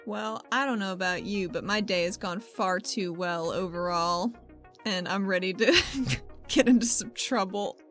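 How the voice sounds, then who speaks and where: A woman's voice: mid-range voice, measured pace, soft background music, close to an everyday voice. A woman playing a wry, stubborn adventurer in a tabletop game, talking in a casual, slangy way. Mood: wry, playful.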